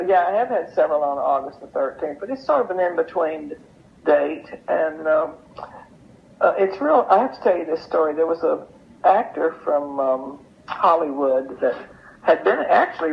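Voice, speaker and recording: A woman's voice: deep voice, lively intonation, normal speed, gravelly. An older woman, telling anecdotes in a casual, chatty way as an interview guest. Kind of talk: casual storytelling. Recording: muffled lo-fi audio with noise.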